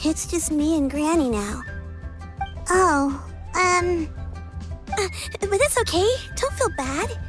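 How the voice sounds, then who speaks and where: A caring young girl from a fantasy game. She has a high, youthful voice with lively movement, sounding polite, earnest and a little exasperated, with music behind her. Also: takes it slowly, full character voice. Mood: earnest, wistful. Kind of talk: scripted video game character acting.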